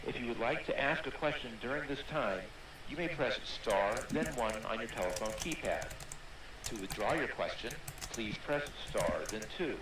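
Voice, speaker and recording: A man's voice: mid-range voice, expressive, moderate pace, far from the mic. A man working as a conference call operator, reading a formal scripted announcement over an echoey, noisy playback.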